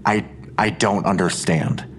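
A man's voice: deep voice, a little slow, a little hiss or hum. An actor playing a weary, defensive police detective and anxious father, terse and guarded, in a podcast audio drama. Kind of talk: full-cast audio drama.

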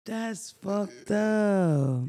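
A woman with a raspy voice co-hosting a podcast, chatting casually in a blunt, profane, storytelling way. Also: mid-range voice, animated, very slow, dark tone. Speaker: podcast co-host. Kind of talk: casual chat.

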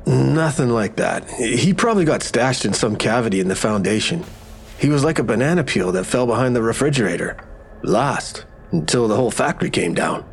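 Husky, wry medical examiner. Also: male voice, medium pitch, expressive, a little fast. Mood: matter-of-fact. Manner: wry, explanatory, matter-of-fact. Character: wry male medical examiner, morbidly jokey, matter-of-fact. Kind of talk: audio drama acting.

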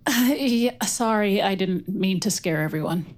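A woman's voice: medium pitch, animated, a little slow, close to an everyday voice. An actress playing an anxious, haunted young mother in a horror audio drama, hesitant, tense and earnest. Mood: flustered. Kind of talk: audio drama narration and dialogue.

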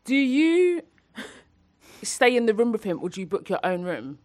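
A young woman hosting a podcast, in relaxed, storytelling chat. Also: a little high, moderate pace, no echo. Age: young adult. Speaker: podcast host. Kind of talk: casual chat.

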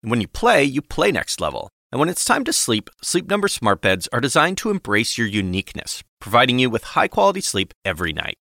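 Male ad read, bright voice, very animated pitch, dry studio sound. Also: a little fast, vocal fry, few pauses, no echo. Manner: upbeat, punchy sales delivery. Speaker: ad announcer. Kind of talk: commercial read.

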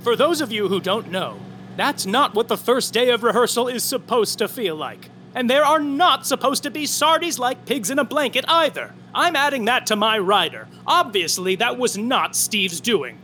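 An actor playing a catty, theatrical narrator of backstage gossip in an audio drama. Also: male voice, extremely high pitch, expressive, normal speed, sharp tone, a little hiss or hum, full character voice. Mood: snarky. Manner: snarky, theatrical.

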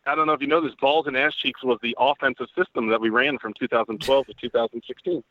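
A man's voice: medium pitch, steady tone, fast talker. A man in casual sports banter as a guest journalist, crude and joking with a mock-analytical manner. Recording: muffled phone-like line, dry.

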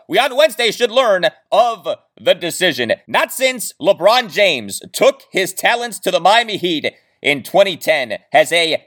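A man's voice: fairly high pitch, fairly bright tone. A man hosting a solo sports podcast, talking through his rundown in an emphatic, showman-like way with dramatic stresses. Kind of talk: scripted solo sports podcast monologue with asides.

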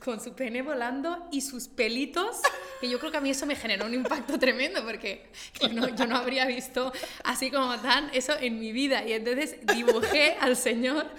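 A woman from Catalonia speaking Spanish with a Catalan accent, telling stories in an expressive, chatty way as a guest illustrator. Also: sits high, normal speed. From Igualada, Catalonia, Spain. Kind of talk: casual conversation. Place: Barcelona.

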